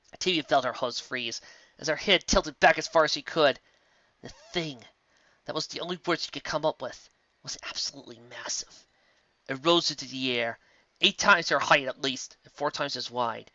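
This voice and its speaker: A man reading a story aloud with expressive storytelling. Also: medium pitch, no room sound, dull audio. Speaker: reader. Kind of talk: audiobook narration of fan fiction.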